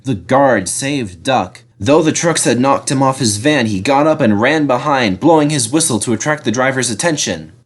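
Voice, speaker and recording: A man reading a children's storybook aloud as scripted, expressive narration. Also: medium pitch, normal speed, hardly any pauses, slightly rough. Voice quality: animated, expressive delivery. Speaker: story reader.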